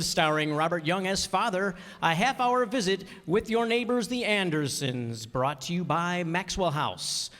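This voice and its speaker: A man's voice: sits high, a bit bright. A man playing a 1950s radio announcer with a polished, declamatory delivery. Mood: upbeat. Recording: long hall reverb.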